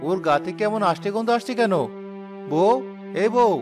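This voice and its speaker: Man voicing a high-pitched, puzzled village husband. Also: normal speed, over music, lightly acted. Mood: suspicious. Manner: questioning, wondering aloud. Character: mild, puzzled, suspicious young village husband. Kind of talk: Bengali cartoon story voice acting.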